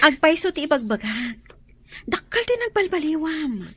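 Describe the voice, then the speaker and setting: A woman's voice: sits high, sing-song, unhurried, sharp tone, exaggerated voice. A woman acting as a playful, nosy young friend in an Ilocano radio drama, with exaggerated, teasing, sing-song delivery.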